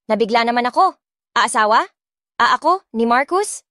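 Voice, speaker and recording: A woman's voice: on the high side, expressive, normal speed. A woman with a Filipino accent reading dramatic first-person scripted narration as an audiobook narrator. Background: soft music.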